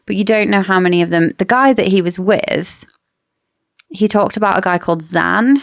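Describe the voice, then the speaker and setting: A woman's voice: medium pitch, normal speed, vocal fry, no echo, clean studio sound. A woman co-hosting a casual book discussion podcast, explaining and arguing her points in an opinionated way. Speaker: podcast co-host.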